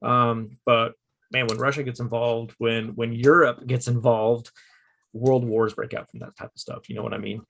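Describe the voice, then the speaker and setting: A man in his forties hosting a casual podcast chat, talking with halting restarts and frequent check-ins. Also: medium pitch, little pitch movement, gravelly, a bit bright, slight background noise. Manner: conversational, repeats "okay?" to check in, halting restarts. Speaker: podcast host. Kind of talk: casual conversation.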